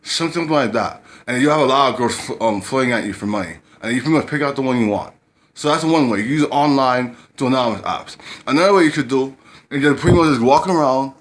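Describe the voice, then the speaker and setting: A man's voice: mid-range voice, fast talker. A man giving dating advice to camera in a rambling casual way, often repeating himself and using blunt street slang.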